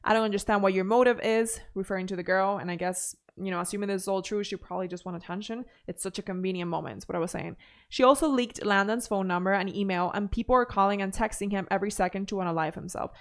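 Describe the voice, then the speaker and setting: Woman host, mid pitch, chatty commentary voice with running asides. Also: fast. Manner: chatty, reads posts aloud with asides. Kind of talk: drama commentary reading posts.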